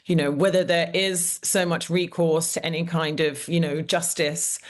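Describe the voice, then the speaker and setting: A woman's voice: on the low side, little pitch movement, normal speed. A female interviewer from the UK who asks long, rambling questions packed with fillers. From United Kingdom.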